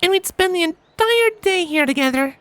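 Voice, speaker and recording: A woman's voice: very high voice, lively intonation, normal speed, a bit bright, dry recording. A woman voicing a cheerful young female pony in an audio story, chirpy and giggly with a sweetly teasing, cooing manner. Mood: fond, nostalgic. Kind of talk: fan fiction audio story character acting.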